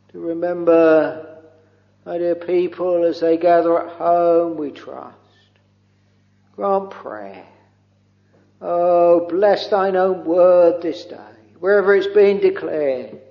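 A man leading a slow, pleading extempore prayer from the pulpit, intoning in old-fashioned English with many interjections of "oh" and "Lord". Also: on the high side. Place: church or chapel. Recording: dull lo-fi recording with long hall reverb.

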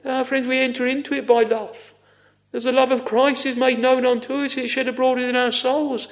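A man's voice: very high-pitched, little pitch movement, moderate pace. A man preaching a fervent extempore evangelical sermon, exclamatory, repeating phrases for emphasis with sighing interjections.

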